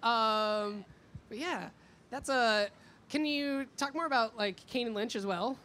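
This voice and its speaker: A man's voice: very high voice, unhurried, pushed and bright. Informal male interviewer at a noisy event. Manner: informal, peppers speech with "like". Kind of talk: casual fan-like interview chat. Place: fan convention event. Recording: noisy live event with background ambience.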